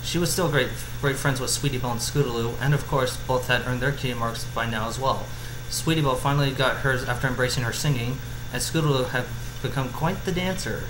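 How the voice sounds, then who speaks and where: A man reading a story aloud informally as an amateur, stumbling and repeating phrases, with off-the-cuff asides. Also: mid-range voice. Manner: halting, stumbles over words and repeats phrases. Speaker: amateur fan fiction reader. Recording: roomy echo, clear background noise.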